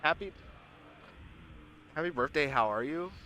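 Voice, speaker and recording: A congested man with a nasal voice talks to his stream in a loose, rambling way, repeating himself as he reads out the chat. His voice is bright and swings up and down a lot. Faint noise hums behind him. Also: on the high side, lively intonation, a little slow. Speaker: livestream host. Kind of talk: casual chat with live chat.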